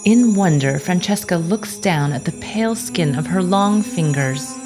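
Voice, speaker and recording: A woman's voice: lowish voice, animated, a little slow. A woman narrator telling a scripted story theatrically for an audio drama. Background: music.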